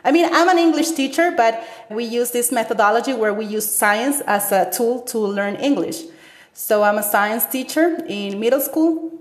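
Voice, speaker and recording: A woman in her thirties from Ecuador with an Ecuadorian Spanish accent, telling her story in an animated interview full of fillers. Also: mid-range voice. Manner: animated, gestures with stories, frequent fillers. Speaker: interviewee, teacher. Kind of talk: conversational interview. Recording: echoey room.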